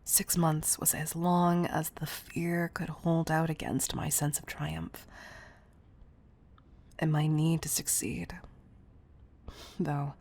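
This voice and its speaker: A woman playing a wealthy, obsessive CEO in an intimate audio roleplay, speaking in a breathy, gravelly murmur that is affectionate and confessional. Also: low-pitched, a little mellow, full character voice. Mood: confiding, wistful. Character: wealthy obsessive female CEO, tender yet controlling. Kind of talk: intimate audio roleplay monologue, partly whispered.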